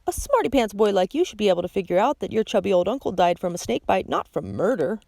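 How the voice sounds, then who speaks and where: A scheming storybook villain, a grown man who sneers and taunts with theatrical menace, put on by a woman narrator. The voice climbs higher than her usual reading, with sudden sharp swings in pitch, in a dry, close recording. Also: expressive, quick pace, gravelly, no echo. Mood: mocking. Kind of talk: audiobook character reading.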